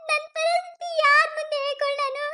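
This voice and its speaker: A woman's voice: extremely high pitch, steady tone, quick pace, background noise. A woman with a North Karnataka Kannada accent acting as a distressed young college girl pleading with her boyfriend. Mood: weeping. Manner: pleading, breaks into teary laughter. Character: young woman college student, anguished, torn over marriage. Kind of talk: amateur skit acting.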